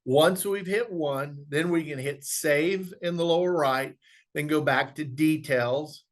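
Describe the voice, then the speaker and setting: A man presenting an instructional software walkthrough, explaining step by step in a conversational way. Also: on the high side, measured pace. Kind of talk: instructional screen walkthrough, informal webinar.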